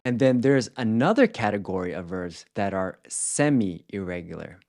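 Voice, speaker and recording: A man presenting a language lesson in scripted instructional narration, explaining clearly and enunciating example words with care. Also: on the low side, sing-song, normal speed, darkish tone. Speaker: language teacher presenting a video series.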